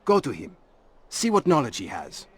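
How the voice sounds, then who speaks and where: Assassin scout for a game: husky, mid-pitched, lively intonation, brotherly. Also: male voice, moderate pace, faint music behind, exaggerated voice. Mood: helpful, conspiratorial. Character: brotherly male assassin scout in medieval city. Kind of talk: video game voice acting.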